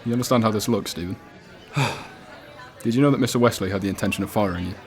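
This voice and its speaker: A man's voice: fairly low pitch, fast, background noise, natural voice. A man with a British accent playing a casual but persistent police detective in a radio play, questioning politely and insistently. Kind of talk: student radio drama acting. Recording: cafe crowd chatter behind.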